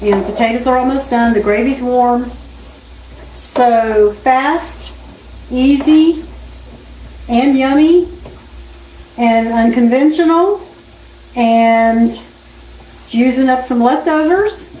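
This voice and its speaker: A middle-aged or older woman chatting casually in a folksy, unhurried way as she vlogs at home. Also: sits high, mellow. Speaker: home vlogger. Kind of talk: casual chat while cooking. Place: home kitchen, indoors. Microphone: camera a few feet away. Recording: roomy kitchen with sizzling pan noise. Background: frying and sizzling food.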